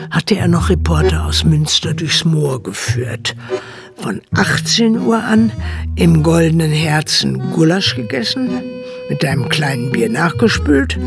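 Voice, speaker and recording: Creaky factual narrator, over music. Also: female voice, sits low, exaggerated voice. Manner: factual, storytelling. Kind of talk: scripted first-person narration in a radio drama.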